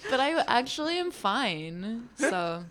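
A woman co-hosting a podcast in a studio, chatting casually and telling animated stories, her voice raspy from singing karaoke. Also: on the high side, slow pace, a little hiss or hum. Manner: laughs often, animated storytelling. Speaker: podcast co-host. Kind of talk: casual chat.

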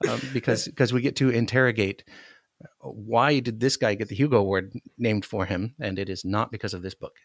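A man's voice: lowish voice, fairly even intonation, a little fast, no echo, studio quality. A man with an American accent, talking casually as a guest on a podcast. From United States, Alaska. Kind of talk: casual chat.

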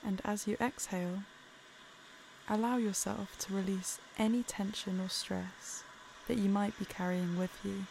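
A woman narrating a guided meditation in a calm, soothing, unhurried way. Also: mid-range voice, gravelly, background noise.

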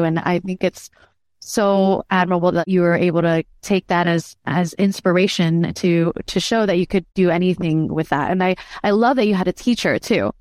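A woman's voice: lowish voice, a little mellow, dry recording, clean studio sound. A woman whose first language is Vietnamese, hosting a podcast and responding to her guest in an effusive, affirming way. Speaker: podcast host. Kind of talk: casual interview chat.

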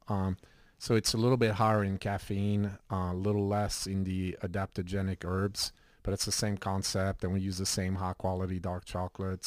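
A middle-aged man from Switzerland, a health shop owner talking as a guest in a casual interview, relaxed and rambling with many fillers. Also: deep voice, no room sound, studio quality.

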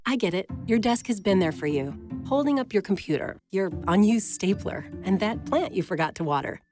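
A woman's voice: mid-range voice, expressive, fairly quick. Female ad voiceover, friendly persuasive read with music under it. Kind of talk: radio public service ad read. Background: background music.

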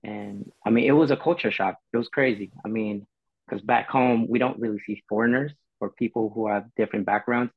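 A man's voice: lowish voice, steady tone, a little fast, no echo. Easygoing man, muffled dry recording. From Philippines. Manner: easygoing, rambling, many fillers. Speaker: podcast guest. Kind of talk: casual chat. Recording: dull dry sound, faint music.

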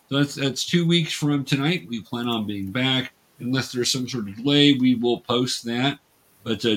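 Male host and game master, mid-pitched voice, casual and patient explainer with halting delivery. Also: a bit bright. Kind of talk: casual explaining while running a tabletop game. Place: remote video call.

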